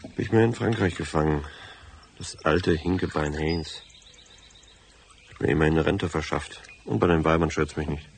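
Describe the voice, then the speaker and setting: An actor playing a lonely, hard-drinking cabin caretaker in a German radio drama, blunt and colloquial with a gravelly voice. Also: male voice, rumbling low, moderate pace, long pauses, a little hiss or hum. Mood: gruff, sociable. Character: lonely, hard-drinking limping male cabin caretaker, rough and plain-spoken.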